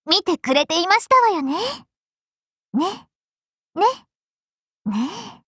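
Super high anime girl for a mobile game, bright n sweetly polite, obsessively in love, slow talker. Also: sing-song, no room sound, clean studio sound, full character voice. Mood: proud, adoring. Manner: very polite, coy, gushing devotion. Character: lovestruck, clingy young maiden spearwoman, polite but obsessive.

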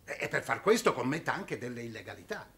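An actor playing a pompous, irritable middle-aged landowner in a 1960s television drama, blustering and pedantic. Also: male voice, mid-range voice, big pitch swings, fast talker, creaky, sharp tone, exaggerated voice. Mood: indignant, sceptical. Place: studio set of a furnished room. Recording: noisy old television soundtrack.

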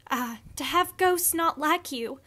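A woman playing a gloomy, put-upon friend with a deadpan, aggrieved manner in a comic radio play. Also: high-pitched, unhurried.

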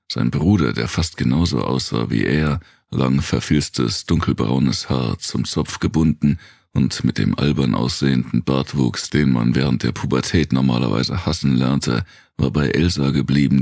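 A man narrating a dark post-apocalyptic thriller audiobook in the first person, reading from a script. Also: extremely low pitch, vocal fry, no echo, clean studio sound.

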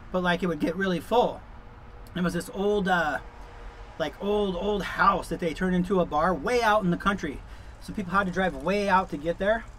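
A middle-aged man with a notably high-pitched voice talks casually to his viewers. His delivery is informal and easygoing, wandering along like someone telling a story. He is recorded inside a truck camper, with some ambient vehicle noise underneath. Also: a bit bright. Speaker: vlog host. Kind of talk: casual chat to camera.

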